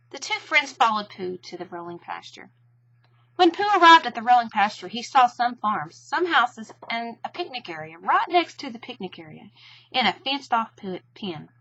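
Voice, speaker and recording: A woman's voice: a little high, bright, forceful tone, lo-fi sound. A woman narrating a children's storybook expressively.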